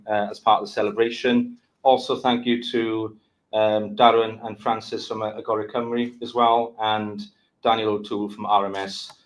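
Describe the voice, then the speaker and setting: Man, deeper voice, upbeat speech, graduation host, event venue. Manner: upbeat, congratulatory public address. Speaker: ceremony host. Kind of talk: opening speech at a graduation ceremony.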